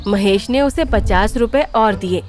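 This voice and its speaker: A woman's voice: medium pitch, measured pace, a little mellow, soft background music. A woman narrating a scripted cartoon story as a storyteller.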